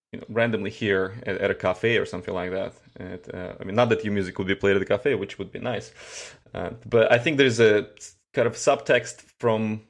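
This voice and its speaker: Male interviewer, lower voice, chatty and rambling. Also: gravelly. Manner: chatty, thinking aloud with many asides. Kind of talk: casual interview talk.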